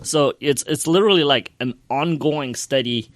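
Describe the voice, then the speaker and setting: A man's voice: a little high, normal speed, dry recording. A man co-hosting a podcast, telling stories casually with emphatic, repetitive phrasing. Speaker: podcast co-host. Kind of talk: casual storytelling.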